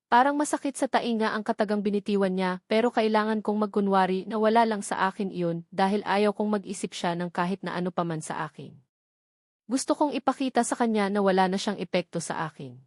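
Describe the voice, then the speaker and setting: A woman's voice: mid-range voice, darkish tone, no echo. Woman narrating a novel, dry close sound. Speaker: audiobook narrator. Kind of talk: scripted first-person romance novel narration.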